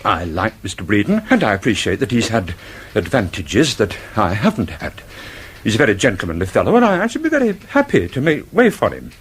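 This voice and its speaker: An actor playing a touchy, class-conscious office worker in a period radio drama, formal and full of grievance. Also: male voice, fairly low pitch, wildly swooping pitch, a little fast, slightly rough, full character voice. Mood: aggrieved. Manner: aggrieved, prickly, formal.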